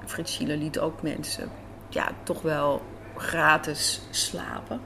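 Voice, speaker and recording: A woman's voice: deep voice, little pitch movement, takes it slowly, vocal fry, background noise. A woman telling stories casually as an interview guest. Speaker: interview guest, radio drama writer and director. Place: café in a hotel. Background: faint café ambience.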